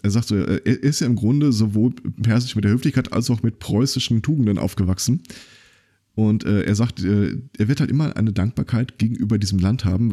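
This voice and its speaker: A man talks in a low register with a warm, dark tone. He hosts a casual podcast and tells his stories loosely, full of fillers and restarts. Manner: rambling storyteller, many fillers and restarts. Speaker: podcast host.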